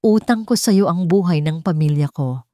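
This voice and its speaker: A woman with a Filipino accent voicing a remorseful wealthy father, gentle and earnest, in an audio drama. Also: fairly low pitch, moderate pace, dry recording, natural voice. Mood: remorseful, emotional.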